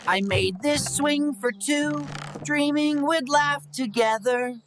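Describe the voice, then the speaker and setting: A woman as a very slow, mournful lonely child. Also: high voice. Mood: mournful, longing. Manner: mournful, drawn-out delivery with pauses. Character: lonely, heartbroken poor village child. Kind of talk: scripted first-person story narration. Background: prominent music.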